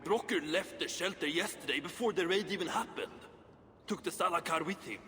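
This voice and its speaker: An actor playing a worried, cautious dwarf who counsels patience in a video game, with earnest, measured delivery. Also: male voice, fairly high pitch, bright, forceful tone. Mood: troubled. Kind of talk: dramatic video game acting. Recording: long cavernous reverb, faint noise.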